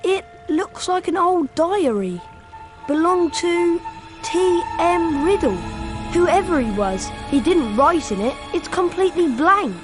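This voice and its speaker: Young schoolboy hero, very high voice, lively, earnest, urgent, fantasy game, music behind. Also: female voice, slow, exaggerated voice.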